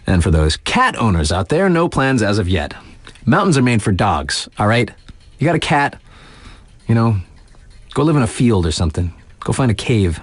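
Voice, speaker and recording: A man's voice: medium pitch, no echo. A wisecracking male radio DJ from a video game. His mid-range voice swings freely up and down through breezy, mock-serious local news. The sound is tight and dry, with a casual, wry delivery. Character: laid-back, wisecracking male mountain radio DJ. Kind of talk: breezy radio news bulletin, ad-libbed asides.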